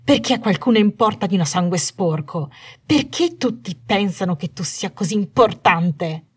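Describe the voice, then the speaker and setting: A woman's voice: medium pitch, animated, moderate pace, slightly rough, voice pushed up. A woman narrator voicing a bitter young wife in an audiobook story, menacing and manic with jealousy, snarling and trembling with cold fury. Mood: accusing, furious. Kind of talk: dramatised audiobook dialogue.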